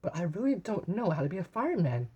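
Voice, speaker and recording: A small boy puppy from a cartoon storybook, acted out by a woman. The voice is raised and a little husky. It is childlike and wondering, like a younger brother who is not sure of himself. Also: fairly low pitch, big pitch swings, fast, dull audio, pitched above the performer's own voice, heavily acted. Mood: discouraged.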